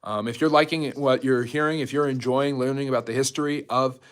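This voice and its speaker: A man's voice: medium pitch, fairly even intonation, fairly quick, clear, resonant tone. A man hosting a live stream, talking casually and informally like a history teacher taking questions from viewers. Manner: chatty, jumps between topics, reads out and answers viewer comments.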